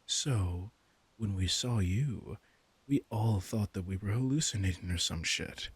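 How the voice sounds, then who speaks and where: Low, husky, unhurried vampire king played by a man. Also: full character voice. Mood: amused, explaining. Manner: unhurried, smug, casually menacing. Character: commanding, teasing male vampire king, smug predator. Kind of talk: roleplay audio drama, addressing the listener.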